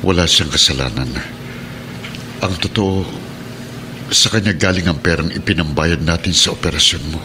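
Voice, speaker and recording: A man acting a middle-aged father in a Tagalog radio drama, earnest and gently pleading. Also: deep voice, moderate pace, long pauses, background noise, close to an everyday voice. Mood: earnest, reassuring. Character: remorseful, earnest father, police officer near retirement. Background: dramatic music.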